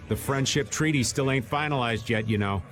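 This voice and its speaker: A man's voice: mid-range voice, brisk, fairly bright tone, natural voice. An actor playing a laid-back, wily older man in a video game, talking in a casual, folksy, theatrical way. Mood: exasperated. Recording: music under the voice. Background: game background music.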